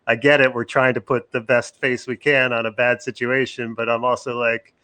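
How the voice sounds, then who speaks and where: A man hosts a casual comedy interview over a video call. His voice is middle-pitched and plain. He talks in a chatty, joking way, often stopping and restarting his sentences. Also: flat delivery, normal speed, sharp tone, smooth. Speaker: comedian and podcast host.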